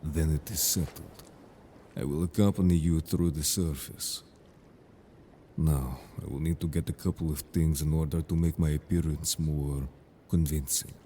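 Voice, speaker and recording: A stern merfolk guard played by a man speaks in an extremely deep, dark voice over noticeable noise. He is formal and measured, a protective, serious interrogator from a fantasy audio drama. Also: fairly even intonation. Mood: calm, resolute. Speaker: voice actor in an audio roleplay. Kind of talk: scripted audio drama roleplay.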